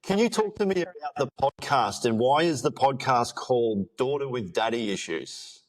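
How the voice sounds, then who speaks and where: A man's voice: medium pitch, expressive, moderate pace, low-quality recording. A man hosting a podcast, friendly and welcoming as he asks his guest questions. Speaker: podcast host. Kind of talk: interview questions.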